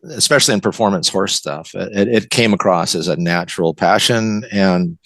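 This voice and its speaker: A man's voice: deep voice, normal speed, no room sound. An older man with an American accent, talking reflectively in a conversational interview and often repeating his words. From West Coast of the United States. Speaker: interview guest, writer.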